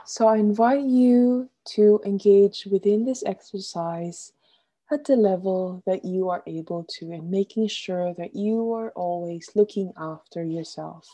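A woman guiding a relaxation meditation as an instructor, gently and soothingly, with deliberate instructions and softly trailing phrase endings. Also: mid-range voice, fairly even intonation.

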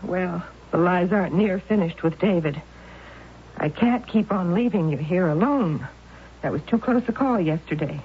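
A woman's voice: lowish voice, expressive, normal speed, lightly acted. A woman playing an anxious devoted aunt. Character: devoted worried middle-aged aunt. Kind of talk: 1970s radio drama acting. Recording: dull old radio broadcast with faint hiss.

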